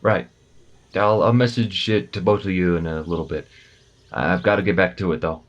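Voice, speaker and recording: A man's voice: low voice, moderate pace, dull audio, lightly acted. A man acting an easygoing, matter-of-fact soldier at a checkpoint in an audio roleplay, explaining things casually with a slight hesitancy. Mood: businesslike.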